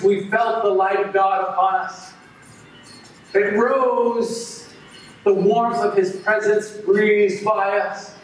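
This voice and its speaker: A man's voice: sits high, a bit bright. A man playing bitter, jealous Cain. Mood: brooding. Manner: impassioned, swings from brooding to ranting. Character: resentful young male farmer, Cain, jealous and bitter. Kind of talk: first-person dramatic monologue. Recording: very echoey hall, voice from far off, faint noise.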